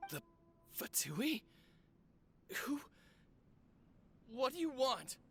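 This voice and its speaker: An actor playing a righteous, defiant wounded man in a video game, speaking in a halting, emotional way. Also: extremely high pitch, big pitch swings, creaky, a bit bright, husky, faint music behind, full character voice. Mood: shocked, angry. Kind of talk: dramatic video game acting.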